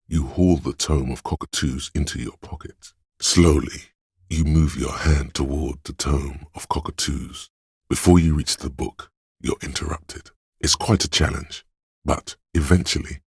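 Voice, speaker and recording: Casting: a brooding inner-voice narrator in a detective game. Extremely low, heavily rasped male voice, deliberate and intimate. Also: no echo, clean studio sound, heavily acted. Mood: measured, wry. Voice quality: very deep, gravelly, husky. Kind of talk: dramatic second-person game narration.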